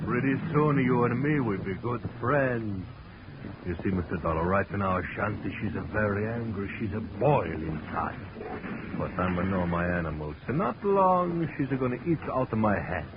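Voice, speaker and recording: An actor playing an effusive, doting circus animal show owner with an Italian accent and broken English, in 1940s radio drama style. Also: male voice, on the low side, lively intonation, normal speed, natural voice. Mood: tender, confident. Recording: dull, noisy old radio recording.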